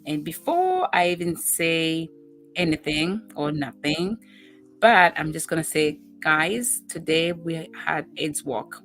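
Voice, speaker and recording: A woman's voice: sits low, sing-song, takes it slowly, fairly bright tone, faint music behind. Upbeat woman show host. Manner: upbeat, chatty, addresses viewers directly. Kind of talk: talk show hosting.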